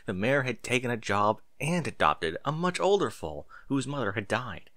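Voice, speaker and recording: Expressive male storyteller, lowish and bright. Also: no echo. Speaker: audiobook-style story reader. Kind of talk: expressive scripted narration of fan fiction.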